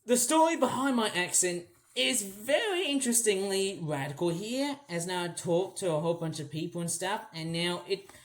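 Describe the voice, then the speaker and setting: A woman's voice: expressive, moderate pace, few pauses, poor audio. English-born woman, kinda aussie-sounding accent mixed w british, nasal-ish voice, rambly vlog chat w lots of ums. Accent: mixed British and Australian, mainly Australian. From England. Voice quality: slightly shrill and nasal. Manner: rambling, many fillers and restarts, talks while cleaning. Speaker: vlogger.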